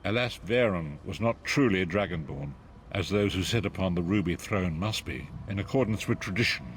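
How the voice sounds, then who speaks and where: An actor playing a frail, blind old prophet and mystic guide in a fantasy video game, speaking gravely in archaic, oratorical phrases. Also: male voice, sits low, a little fast, a little hiss or hum, lightly acted. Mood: grave, portentous. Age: older. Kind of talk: dramatic fantasy game voice acting.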